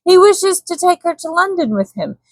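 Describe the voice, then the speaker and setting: A cornered, scheming storybook villain, acted out by a woman, frightened and eager to shift the blame. The voice is pitched high and pleads its case in a close, dry sound. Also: sing-song, normal speed, darkish tone, no echo, full character voice. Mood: pleading, fearful. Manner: pleading, self-excusing. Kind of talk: dramatic storybook character voice.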